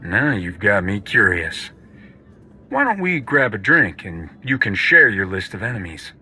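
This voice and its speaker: A man's voice: fairly low pitch, big pitch swings, a little slow, pushed and bright, slightly rough, exaggerated voice. A man playing a resolute, pragmatic rebel commander in a video game, firm and matter-of-fact. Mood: intrigued. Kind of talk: video game cutscene acting.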